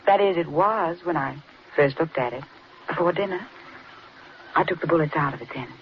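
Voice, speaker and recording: A woman's voice: deep voice, moderate pace, natural voice. A poised, murderous wife from a 1940s radio thriller, played by an actress. She speaks with honeyed politeness that carries a pointed edge. The sound is muffled, with faint noise. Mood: veiled menace. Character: poised, sweetly menacing wife with murderous intent. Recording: muffled, noisy old radio recording.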